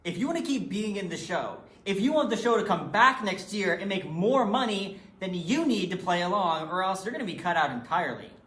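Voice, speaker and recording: A man performing readers theatre as a blunt reality TV producer, with deliberate dramatic emphasis. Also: sits high, animated, a bit bright. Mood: stern, pressuring. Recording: echoey room.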